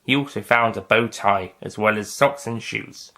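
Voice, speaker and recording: A man's voice: on the low side, normal speed, sharp tone. A man reading scripted science fiction story narration as its narrator. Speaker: author and narrator.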